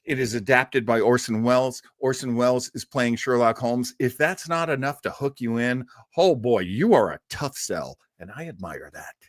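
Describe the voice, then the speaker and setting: A man's voice: mid-range voice, lively intonation, moderate pace, hardly any pauses, no echo, clean studio sound. A man hosting a livestream, chatting casually and answering viewers, rambling with laughs and restarts. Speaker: livestream host. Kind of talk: casual chat with live chat viewers.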